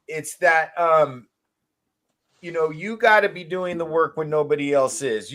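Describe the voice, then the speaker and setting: A man with a voice pitched a little above average chats casually in a group discussion. He sounds earnest and explains himself through anecdotes, like a small business owner sharing experience. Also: fairly bright tone. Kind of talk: casual panel chat.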